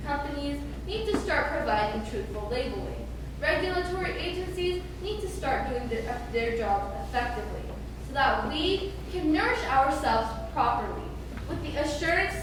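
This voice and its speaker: High-pitched, animated young female student speaker. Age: teens or young adult student. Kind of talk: prepared persuasive speech on stage. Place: stage in a reverberant room. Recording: echoey hall from far off, noisy.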